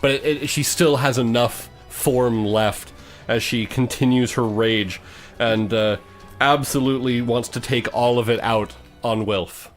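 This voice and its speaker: A man running a tabletop role-playing game as game master, improvising descriptive narration in a halting way. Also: medium pitch, fairly even intonation, faint music behind.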